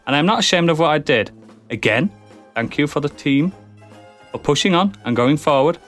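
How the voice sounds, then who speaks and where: A man's voice: on the high side, expressive, moderate pace, a bit bright, soft background music. A man hosting a casual podcast, often switching into a mock-formal, theatrical reading voice. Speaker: podcast host.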